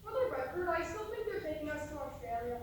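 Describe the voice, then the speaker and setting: A woman plays an anxious, stubborn young emigrant with an extremely high voice. The insistent stage delivery rings through a very echoey hall, distant from the mic. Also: sing-song, fast talker, faint music behind. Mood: suspicious. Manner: insistent, agitated. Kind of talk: stage play acting. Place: theatre stage, recorded from a distance.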